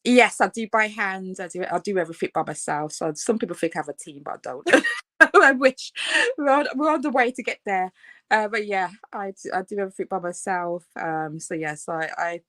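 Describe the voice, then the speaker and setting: A woman's voice: mid-range voice, brisk, a bit bright, dry recording. A woman in her thirties with a Birmingham accent, a fashion designer guest chatting casually, laughing often and filling with "um" and "so yeah.". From England. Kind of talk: casual interview talk.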